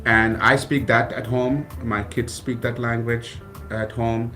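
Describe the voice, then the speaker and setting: A man's voice: medium pitch, little pitch movement, slow pace, sharp tone, faint music behind. A man from South India whose first language is Kannada, a college president talking earnestly and gratefully in a casual radio interview, often restarting his phrases. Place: radio studio.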